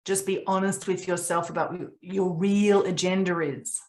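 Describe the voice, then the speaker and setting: Earnest lower-voiced woman coach. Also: steady tone, normal speed. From Papua New Guinea. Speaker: coach hosting a group Q&A. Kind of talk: coaching talk on a video call. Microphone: video call.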